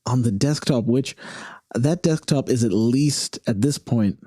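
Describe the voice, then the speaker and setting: A man raised in southern Africa and Virginia talks casually as an interview guest, halting and correcting himself as he thinks aloud. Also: mid-range voice, mellow, no room sound, studio quality. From southern Africa (South Africa, Zambia) and Virginia, US. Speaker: interview guest, singer-songwriter.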